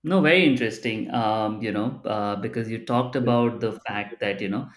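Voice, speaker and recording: A man's voice: lowish voice, moderate pace. Hesitant man, echoey room. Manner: hesitant, many fillers like "you know" and "uh". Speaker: podcast host. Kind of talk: interview questions.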